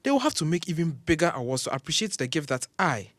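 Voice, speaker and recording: Man playing an arrogant older surgeon, condescending, very animated delivery, dry close sound. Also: a little high, fast, dry recording. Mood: grandiose. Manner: grandiose, condescending. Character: pompous, arrogant older male surgeon professor and father. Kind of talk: audio drama acting.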